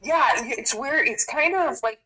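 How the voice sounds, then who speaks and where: A woman's voice: sits high, a little slow, a crowd in the background, poor audio. A woman calling in for a reading, talking casually about personal matters in a hesitant, reflective way. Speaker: caller receiving a reading.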